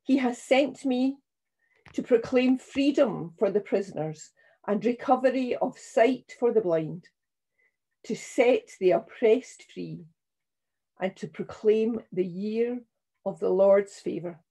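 An older woman minister reading scripture and preaching in a solemn, measured, deliberate way. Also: a little high, dark tone. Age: 60s or older. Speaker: minister leading a church service. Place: small room at home, indoors.

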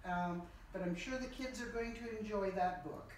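A woman's voice: mid-range voice, normal speed, darkish tone. A middle-aged woman speaks as a visiting librarian in a children's skit. She is picked up from afar in a strongly echoing room, with faint noise. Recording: echoey room, distant mic, faint noise.

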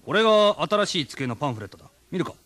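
Brisk, animated office boss. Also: male voice, on the high side, dry recording. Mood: firm, explaining. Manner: authoritative, explanatory. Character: gruff, patient male department manager, businesslike boss. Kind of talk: Japanese radio comedy drama acting.

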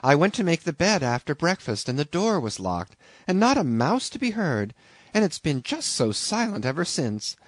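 Man doing a squeaky panicky maid, all exclamations, dry mic. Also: big pitch swings, normal speed, dry recording. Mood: alarmed. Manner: breathless, exclaiming. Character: panicked chambermaid woman, excitable.

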